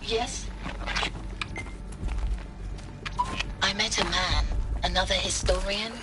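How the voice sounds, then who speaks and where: An actress playing a conflicted, reflective art historian in a video game, speaking in an earnest, deliberate way. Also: female voice, mid-range voice, fairly even intonation, lightly acted. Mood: wistful. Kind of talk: recorded monologue in a video game. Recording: game music loud behind the voice. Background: loud game soundtrack.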